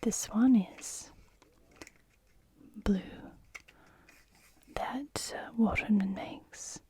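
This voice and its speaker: A woman speaking in a soft, breathy whisper, gently role-playing an attentive shop assistant for ASMR. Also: medium pitch. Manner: gentle, unhurried, attentive to the customer.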